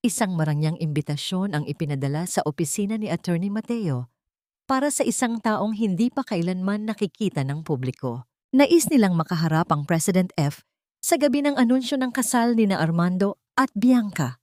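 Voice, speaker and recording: A woman reading a scripted dramatic story as narrator, in a measured, solemn manner. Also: lowish voice, expressive, a little mellow, no echo, studio quality.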